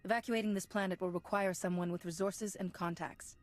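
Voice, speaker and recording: A woman playing a composed, resolute Jedi knight in a video game, measured and matter-of-fact. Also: lowish voice, steady tone, lightly acted. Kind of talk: video game cutscene acting.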